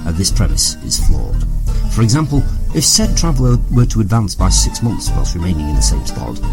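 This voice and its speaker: Droll male narrator for audio comedy, played by a man: low voice, hugely varied intonation, mock-grand and pedantic, music underneath. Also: very animated, a little fast, creaky. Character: dry, digressing comic narrator of a time-travel serial. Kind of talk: scripted comic narration.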